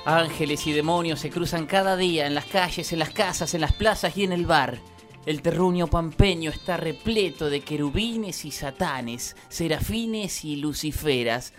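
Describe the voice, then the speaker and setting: A woman's voice: deep voice, normal speed, faint music behind. A woman reading scripted narration for a satirical radio drama in a mock-solemn, poetic manner.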